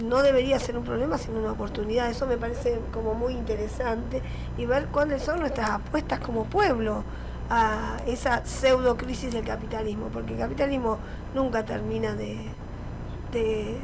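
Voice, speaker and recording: A woman's voice: on the high side, steady tone, normal speed, gravelly, background noise. An Argentine woman with a Rioplatense accent, a radio host chatting casually in loose, filler-filled phrases over an echoey line. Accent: Rioplatense Spanish. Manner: filler-heavy, speaks in loose clauses.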